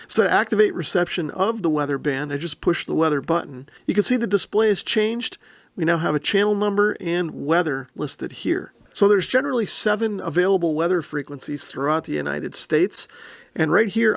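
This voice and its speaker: A man's voice: a little high, gravelly, dry recording. A man explaining equipment methodically and plainly, step by step, as the host of a product demonstration. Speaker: host, radio reviewer. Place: indoor room.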